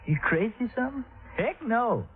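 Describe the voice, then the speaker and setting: A man's voice: extremely high pitch, lively intonation, brisk, loud music behind the voice. Folksy young fisherman, tinny old radio. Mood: defensive. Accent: American. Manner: folksy, deferential. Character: polite, plain-spoken young fisherman, defensive country lad. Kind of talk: 1950s radio drama acting. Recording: phone-like vintage radio recording.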